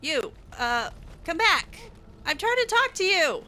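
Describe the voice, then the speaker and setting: A woman's voice: very high-pitched, very animated, unhurried, sharp tone. A woman giving casual, playful in-character commentary while roleplaying through a video game. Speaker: gamer doing a roleplay playthrough.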